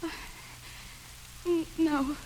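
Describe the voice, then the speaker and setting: High-voiced, troubled widow. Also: female voice, steady tone, very slow, a little mellow, poor audio. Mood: hesitant, defensive. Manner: hesitant, dramatic. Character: troubled widowed mother, hesitant, melancholy, defensive. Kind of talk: 1940s radio acting and narration.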